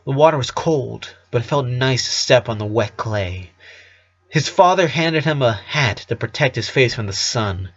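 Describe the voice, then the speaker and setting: A man's voice: mid-range voice, highs cut off. A man narrating a story expressively, stumbling now and then and correcting himself. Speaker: amateur audiobook reader. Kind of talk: storybook narration with acted dialogue.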